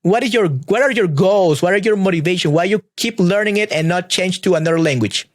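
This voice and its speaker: Male podcast host, high pitch, rambling questions with quick affirmations. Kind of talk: casual interview.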